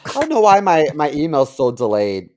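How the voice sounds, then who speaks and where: A man's voice: on the high side, huge pitch swings, normal speed, a little mellow, faint music behind. A man co-hosting a podcast, reading a comic story aloud and chatting casually. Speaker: podcast co-host.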